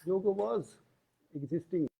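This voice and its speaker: A man giving an explanatory lecture, deliberate and pausing between short phrases. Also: high-pitched, lively intonation, vocal fry, warm tone, husky. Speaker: yoga lecturer. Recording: tinny, phone-like band-limited audio.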